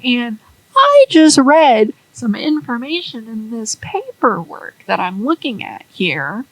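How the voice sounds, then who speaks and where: A woman voicing a smug scheming businessman who talks loud and taunting. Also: big pitch swings, takes it slowly, breathy edge, exaggerated voice, poor audio. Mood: indignant. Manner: loud, taunting, high-pitched.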